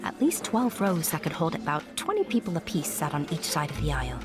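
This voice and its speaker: Woman as a low-voiced fairy tale narrator. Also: sing-song, a little fast, music in the background. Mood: storytelling. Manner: confiding, addresses the listener. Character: confiding fairy tale storyteller. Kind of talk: storytelling narration in audio drama.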